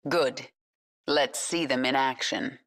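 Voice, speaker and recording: A woman's voice: a bass voice, fairly even intonation, slow, heavily acted. An actress playing a cold, decisive female queen of an alien swarm who gives commands. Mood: commanding. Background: loud game music.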